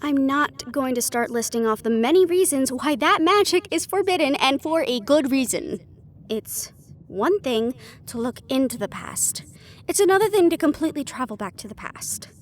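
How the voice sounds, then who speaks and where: Woman acting high-voiced, emphatic, caring magical ally. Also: animated, normal speed. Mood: firm, cautioning. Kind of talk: fantasy audio roleplay acting.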